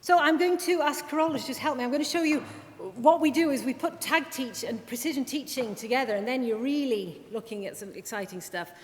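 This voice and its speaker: Chatty woman presenting in a hall. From Wales. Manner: chatty, self-deprecating, quick asides. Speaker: speech and language therapist. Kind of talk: conference presentation. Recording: reverberant hall with faint rumble.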